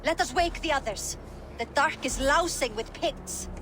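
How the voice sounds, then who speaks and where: A woman's voice: high voice, measured pace, noisy background. An actress playing an alert Viking clanswoman scout, speaking urgently in game cutscene dialogue. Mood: urgent, alarmed.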